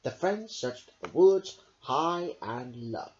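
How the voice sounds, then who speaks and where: A man reading a picture book aloud in a slow, very lively storytelling voice. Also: fairly high pitch. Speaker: storybook reader. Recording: dull lo-fi recording.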